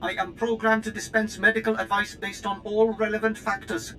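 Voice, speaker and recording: A woman's voice: fairly high pitch, completely flat delivery, brisk, gravelly, sharp tone, slight background noise, poor audio. A woman voicing a clinical, obedient medical droid in a video game, with formal and precise delivery.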